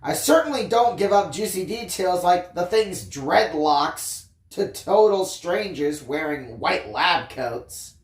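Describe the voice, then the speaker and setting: A storyteller reading a first-person tale expressively, with theatrical emphasis and drawn-out phrases. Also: mid-range voice, lively intonation, reverberant. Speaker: audiobook reader, story time host.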